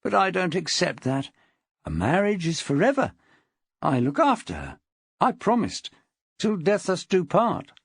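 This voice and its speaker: A woman voicing a menacing, obsessive, jealous husband in an audiobook, crooning and hissing with drawn-out words. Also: on the low side, big pitch swings, breathy edge, dry recording, studio quality, full character voice. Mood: possessive.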